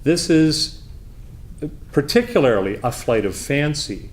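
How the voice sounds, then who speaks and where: A man delivering an off-the-cuff debate speech as opposition leader, formal and deliberate, with halting phrasing. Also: fairly high pitch, sing-song. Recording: background noise, live room.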